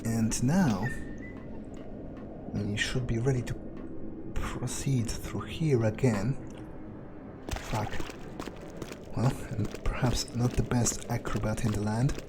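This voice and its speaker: A man playing a role-playing game in character, thinking aloud in a halting, musing mutter as his vampire character. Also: mid-range voice, noisy background.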